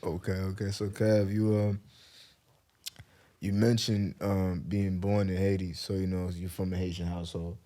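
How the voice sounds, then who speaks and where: A man's voice: deep voice, normal speed. A young man hosting a casual podcast among friends, talking loosely with lots of fillers and slang. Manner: relaxed, filler-heavy, slangy. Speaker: podcast host. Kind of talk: casual chat.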